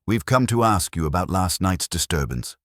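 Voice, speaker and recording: A man voicing a mild, measured police inspector in an audio story, polite, understated and quietly probing. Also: low-pitched, animated, dry recording, studio quality.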